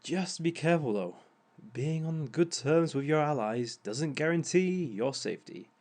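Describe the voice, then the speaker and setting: A man's voice: fairly high pitch, lively intonation, unhurried, a little mellow. A man playing a smug, sly villain lecturer. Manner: theatrical, sly, chuckling asides. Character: smug male villain giving a dating seminar. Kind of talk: audio roleplay monologue.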